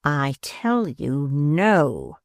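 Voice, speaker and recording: A man's voice: a little high, very animated, drawn-out words, no room sound, studio quality, exaggerated voice. A man voicing a spiteful, bullying male dwarf in an audiobook, sneering and menacing. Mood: threatening. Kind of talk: audiobook character voice.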